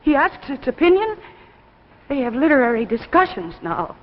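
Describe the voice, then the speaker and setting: High-voiced, worried daughter. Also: female voice, big pitch swings, normal speed. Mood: anxious, insistent. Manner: urgent, insistent. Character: worried, exasperated grown daughter, devoted to her father. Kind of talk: 1950s radio drama acting. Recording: muffled 1950s broadcast.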